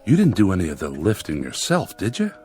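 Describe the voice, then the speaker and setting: A man's voice: low voice, huge pitch swings, a little fast, mellow, faint music behind. An actor playing an earnest, conciliatory family man in a television drama, speaking tactfully and with concern.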